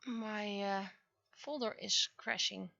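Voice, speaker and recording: Woman, casual streamer, bright voice, noticeable vocal fry, halting self-talk, dry close sound, lo-fi recording. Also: mid-range voice, steady tone, no echo. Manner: muttering to self, halting, thinking aloud. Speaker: livestreamer, webcomic artist. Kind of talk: casual livestream chat.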